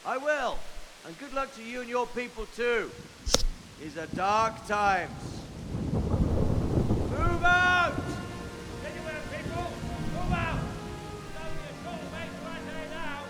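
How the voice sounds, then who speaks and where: An actor playing a commanding middle-aged medieval lord in a game cutscene, wry and gruff, with declamatory old-fashioned speech. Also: male voice, extremely high pitch, lively intonation, slow pace, long pauses, over loud music, heavily acted. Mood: solemn, then sharp, commanding. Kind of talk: dramatic game cutscene acting. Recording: echoing reverb with loud rain hiss.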